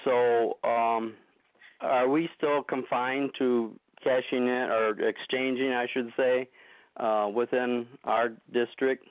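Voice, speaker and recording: A man with a medium-pitched voice calls in over a dry, thin telephone line. He asks his questions politely and casually, with some hesitation. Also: level tone, takes it slowly, no echo. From United States, living in Ohio. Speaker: first-time caller. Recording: narrow dry phone line.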